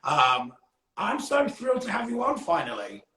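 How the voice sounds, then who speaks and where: A man with a gravelly voice hosting a casual live music interview in an effusive, exclaiming manner. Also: high-pitched, wildly swooping pitch, normal speed, pushed and bright, reverberant. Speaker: interview show host. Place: indoors, live social media stream.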